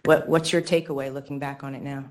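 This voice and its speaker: A woman speaks in a semi-formal register as she moderates testimony. She picks her words carefully, halting often and doubling words for emphasis. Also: extremely low pitch, flat delivery, darkish tone. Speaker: presenter moderating testimony at a public hearing. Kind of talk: semi-formal interviewing and remarks.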